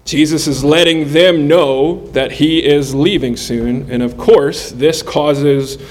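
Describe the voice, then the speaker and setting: A man in his forties delivers a sermon at an unhurried pace. His voice sits slightly high for a man, and he speaks earnestly, pausing between phrases to let each point land. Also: steady tone, low-quality recording. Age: 40s. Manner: earnest, measured delivery with pauses. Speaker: preacher.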